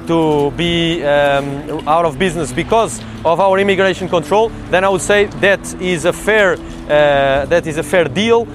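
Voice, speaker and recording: A man arguing a political point in a measured, insistent way, with hesitant fillers. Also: a little high, noisy background. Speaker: political speaker. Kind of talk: impassioned political argument.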